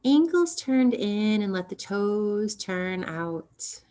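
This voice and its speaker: A woman teaching yoga nidra, guiding a relaxation meditation in a gentle, soothing, unhurried way with long pauses. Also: mid-range voice, expressive.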